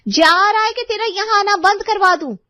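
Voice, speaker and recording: Woman putting on a haughty high-voiced rich neighbour lady. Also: moderate pace, bright, forceful tone, no room sound, clean studio sound. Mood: bossy, contemptuous. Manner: condescending, showy.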